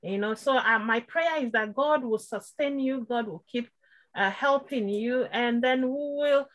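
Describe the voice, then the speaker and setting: A woman with a high voice speaks over a video call. She is a student in an IT program and gives a devout, grateful testimony, warm in faith and quick to laugh softly. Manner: heartfelt and devout, with short laughs. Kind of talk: thankful testimony on a webinar.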